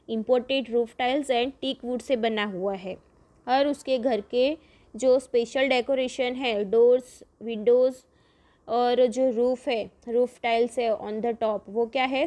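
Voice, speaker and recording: A fairly high-voiced woman, teaching a primary class. She reads each textbook sentence at a very deliberate crawl, then explains it again in her own words. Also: a little mellow. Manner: reads English lines slowly, then explains rapidly in Hindi-English mix. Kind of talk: bilingual textbook lesson, reading English then explaining.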